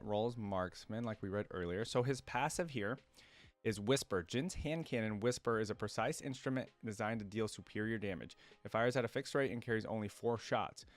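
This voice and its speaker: A man's voice: mid-range voice, dry recording. A man hosting a reaction video, reading aloud and commenting casually in plain, conversational phrasing.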